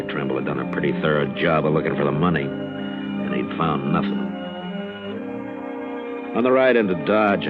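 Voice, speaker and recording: An actor playing a dogged, world-weary western marshal in an old radio drama, speaking plainly and firmly with a weighty voice. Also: male voice. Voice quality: deep, weighty. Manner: plain-spoken, firm. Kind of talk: 1950s radio western acting and narration. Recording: muffled old radio broadcast, music behind.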